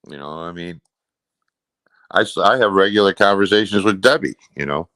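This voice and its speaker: Male interview guest: low register, close dry sound, casual, meandering, defensive, rough everyday wording. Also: normal speed, fairly bright tone, dry recording. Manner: rambling, peppered with "you know".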